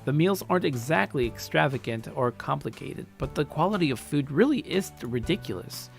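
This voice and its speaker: A man narrating a first-person story aloud in an expressive way, now and then stumbling over his words. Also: on the high side, brisk, gravelly. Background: faint music.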